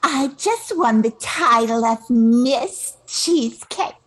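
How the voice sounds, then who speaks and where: Older lady doing a ditzy pageant queen, high swoopy voice, drags every word, full of herself, radio play. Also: expressive. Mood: proud. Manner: drawn-out, preening. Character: ditzy, self-satisfied young beauty queen. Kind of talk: comic old-time radio play acting.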